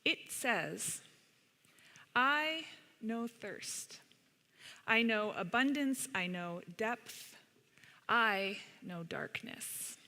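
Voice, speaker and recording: Woman storyteller, higher-pitched, bright voice, slow deliberate read-aloud for children, long hall reverb. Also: expressive. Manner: unhurried, deliberate phrasing with pauses. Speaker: storyteller reading to children at a church service. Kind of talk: picture-book read-aloud. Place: large indoor church sanctuary.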